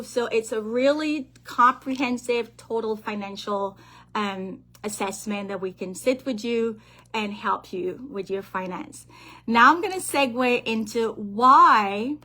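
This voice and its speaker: A woman with a somewhat high voice gives a motivational talk on family finance. She is a financial planner and author who speaks over a call. She talks in a lively way and keeps checking in with her listeners. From Guyana.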